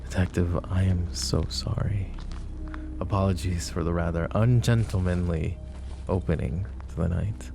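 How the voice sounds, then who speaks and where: A man playing a suave, possessive mafia boss in an intimate roleplay, speaking with mock courtesy and a teasing drawl in a low, gravelly voice. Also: steady tone, moderate pace, over music. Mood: courteous, coaxing. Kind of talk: roleplay ASMR acting, intimate address to listener.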